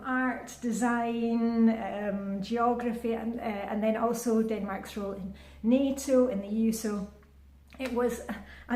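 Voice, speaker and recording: A woman's voice: fairly high pitch, darkish tone, echoey room. A woman in her fifties chatting casually to her vlog viewers, upbeat and full of fillers and restarts. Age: 50s. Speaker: vlogger. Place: indoors at home, with a short outdoor clip in the rain.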